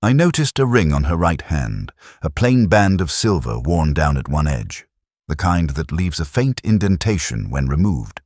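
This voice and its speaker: A man giving a measured, deliberate first-person reading of a literary detective story, as its narrator. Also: rumbling low, big pitch swings, a little mellow, dry recording, clean studio sound.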